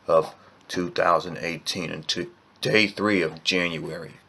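A young man vlogging to camera from a small bedroom, slowly and plainly retelling events on a close webcam. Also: deep voice, steady tone, sharp tone, husky. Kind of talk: casual vlog to camera.

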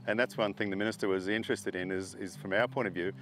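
Man, middle-aged, lowish voice, relaxed, many ums and restarts. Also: fast, a little hiss or hum. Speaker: horse farm owner. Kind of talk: interview, casual storytelling.

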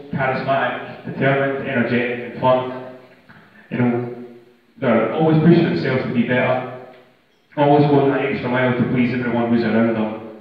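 Echoey, far-off Scottish best man. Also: medium pitch. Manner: joking, banter with listeners, casual swearing. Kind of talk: informal wedding speech. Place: large function room at a wedding reception. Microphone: handheld PA microphone, filmed from the audience. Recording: echoey hall from far off, muffled, crowd noise. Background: wedding guests' chatter and laughter.

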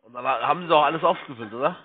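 A man's voice: a little high, very fast, husky. Male call center agent on a phone line. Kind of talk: informal phone sales conversation. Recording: band-limited phone-call audio.

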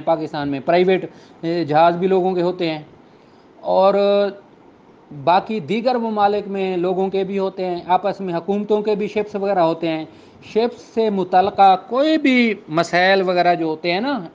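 A man's voice: sits high, a little mellow. A man with a Pakistani accent lecturing on law in a didactic, explanatory way, mixing in English terms. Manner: didactic, switching between Urdu and English legal terms. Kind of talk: explanatory lecture, reading statute aloud and explaining it. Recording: dull lo-fi recording.

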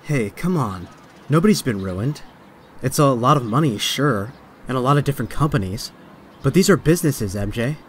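An actor playing a young, wisecracking but stressed superhero in a comic dub, with a sardonic, conversational delivery. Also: male voice, mid-range voice, expressive, moderate pace, slight background noise, close to an everyday voice. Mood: reassuring. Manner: sardonic, conversational inner monologue. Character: young male superhero, wisecracking yet stressed and self-doubting. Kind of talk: dramatic comic dub acting.

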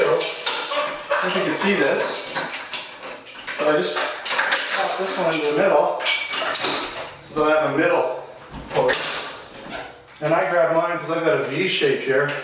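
A man in his forties talks through his workout in a small home gym, a little breathless as he moves. His voice sits on the high side for a man. The camera is across the room, so he sounds distant, with a strong echo and steady background noise. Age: 40s. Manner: talks while exercising, casual and a bit breathless. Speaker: fitness vlogger. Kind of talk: casual workout demonstration. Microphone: camera mic from across the room.

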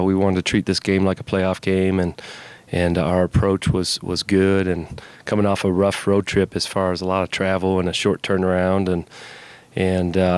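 A middle-aged man speaks as a basketball coach in a postgame interview. His voice is low and fairly flat in pitch, with a measured, conversational delivery. The sound is dry, taken on a handheld microphone. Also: smooth, no room sound. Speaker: college basketball head coach. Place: indoors in front of a media backdrop. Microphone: handheld mic held by interviewer.

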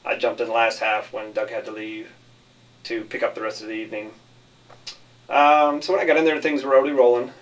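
A man's voice: medium pitch, little pitch movement, a little fast, far from the mic, low-quality recording. A man giving casual interview answers about his work as a film director.

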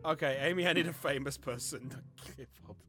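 A British man hosting a word game, bantering casually and reading aloud in an excitable, giggly, playful way. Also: fairly high pitch, a little fast. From Britain. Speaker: host of an online word game. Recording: light music bed behind voice. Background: light music.

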